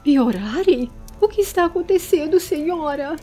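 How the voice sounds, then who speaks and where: Woman doing an anxious, high-voiced young male servant. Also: expressive, moderate pace, warm tone, soft background music. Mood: frightened. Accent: Brazilian. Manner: earnest, easily startled. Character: small, anxious, obedient male young servant of fantasy folk. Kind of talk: dramatized fantasy audiobook.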